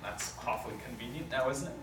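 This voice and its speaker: A man's voice: mid-range voice, fairly even intonation, fast talker, fairly bright tone, natural voice. A man playing a cautious, skeptical small-time lawyer in a table read, hesitant and questioning. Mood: probing. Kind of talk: amateur script table read. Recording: echoey room, distant mic, noisy.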